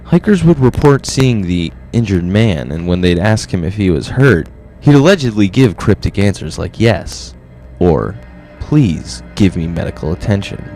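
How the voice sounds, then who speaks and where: A man plays a low-voiced, boastful host of a comedy monster documentary. He sounds pompous and easily wounded, rambling awkwardly as he presents himself as an expert, with music underneath. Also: expressive, moderate pace, a little mellow. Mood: mock-solemn. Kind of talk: mock-documentary presenting with petulant asides.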